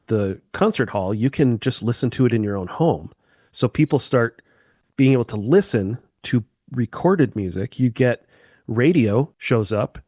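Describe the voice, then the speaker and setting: A man's voice: mid-range voice, a little mellow, no echo. Man explaining with eager comparisons, dry sound. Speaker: musician guest. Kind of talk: casual explanatory chat.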